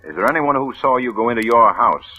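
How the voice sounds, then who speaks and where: An actor playing a sharp, alert young police detective who questions a suspect in a 1940s radio drama, coolly probing and insinuating. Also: male voice, medium pitch, expressive, fast talker, husky. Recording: dull old radio broadcast.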